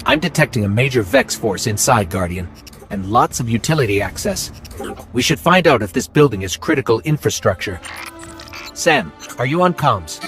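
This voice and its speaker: A man's voice: medium pitch, animated, moderate pace, a bit bright, soft background music. A man voicing a small robotic game companion, earnest, curious and a little anxious, talking in a chatty, questioning way. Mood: alert, businesslike. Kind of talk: scripted game dialogue.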